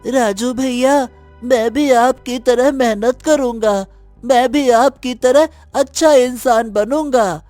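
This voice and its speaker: A woman's voice: on the high side, slow, darkish tone, no echo, soft background music. A woman voicing a friendly, eager young village boy in a cartoon moral story, full of admiration. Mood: eager, admiring.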